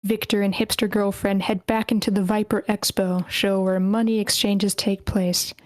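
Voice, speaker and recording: A woman narrating stage directions at a screenplay table read in a measured way, with the odd stumble over a word. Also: medium pitch, level tone, a little mellow, no echo. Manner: measured, slightly stumbling reading. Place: online meeting.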